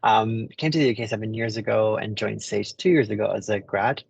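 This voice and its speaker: Hesitant low-voiced speaker from the middle east. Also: male voice, fairly quick, vocal fry, slightly rough, no room sound. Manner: hesitant, many ums and restarts. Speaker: panel speaker. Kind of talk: explanatory talk.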